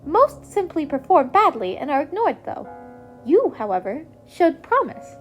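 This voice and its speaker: A woman's voice: high voice, big pitch swings, unhurried, faint music behind, pitched above the performer's own voice. A woman voicing a cheerful, chatty male creature who is a school principal, speaking politely and eloquently in an acted story. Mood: upbeat, explaining.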